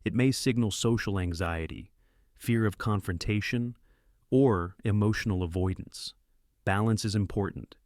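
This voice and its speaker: Low-voiced man narrating an explainer. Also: normal speed, darkish tone, no room sound, studio quality.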